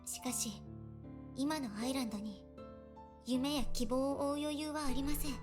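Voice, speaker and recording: A woman's voice: high-pitched, lightly acted. A woman voicing a solemn, earnest young church princess in a visual novel, speaking politely and formally. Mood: solemn, explaining. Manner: polite, formal, measured phrasing. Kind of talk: Japanese visual novel voice acting. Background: game music.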